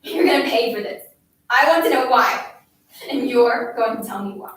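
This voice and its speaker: A woman's voice: fairly high pitch, animated, a little slow, a bit bright. A woman playing a bullying young schoolgirl in a dramatic monologue, scornful, taunting and relentlessly insistent. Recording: echoey room, distant mic, faint noise.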